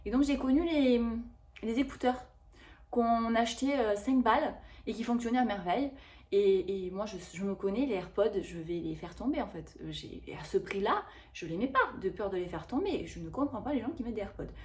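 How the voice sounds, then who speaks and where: Woman, 30s, high-pitched, chatty haul vlogger who rambles through asides. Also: animated, fairly quick. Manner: chatty and rambling, with frequent fillers and self-corrections. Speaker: vlogger reviewing a shopping haul. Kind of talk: casual haul chat to camera.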